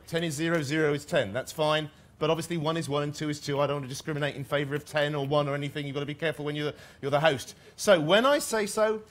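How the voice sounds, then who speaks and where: Wry man hosting a live event. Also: on the high side. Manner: dry, witty, playful asides. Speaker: host. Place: large hall with audience.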